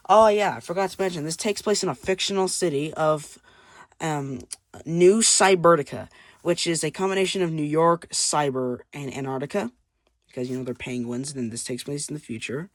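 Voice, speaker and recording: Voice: medium pitch, no room sound. A person reading their own comic aloud with casual, rambling commentary and unsure asides. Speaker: comic creator and narrator.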